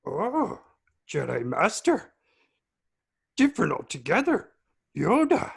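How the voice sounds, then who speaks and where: A raspy, impish little old alien creature in an amateur film-script read. The voice leaps wildly in pitch, speaking slowly in odd backwards phrases with playful, eccentric glee. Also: female voice, wildly swooping pitch, full character voice. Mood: excited, coaxing. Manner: inverted word order, short repeated exclamations.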